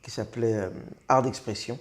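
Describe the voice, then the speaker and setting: A Belgian man in his thirties, a comedian guest in a casual interview, speaking with many pauses and fillers and a self-mocking humor. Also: mid-range voice, fairly even intonation. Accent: Belgian French.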